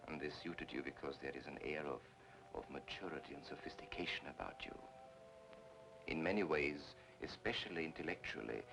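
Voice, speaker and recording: An actor playing a suave, flattering con man in 1940s film noir style, speaking in a smooth, courtly, deliberate way. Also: rumbling low, poor audio.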